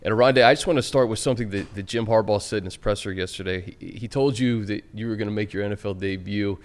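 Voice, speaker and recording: A man's voice: lowish voice, quick pace. A man hosting a sports talk show in a conversational presenting style.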